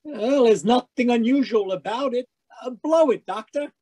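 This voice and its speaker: A man playing an eager, cheery 1940s radio announcer and sponsor pitchman. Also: normal speed, warm tone. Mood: eager, chummy.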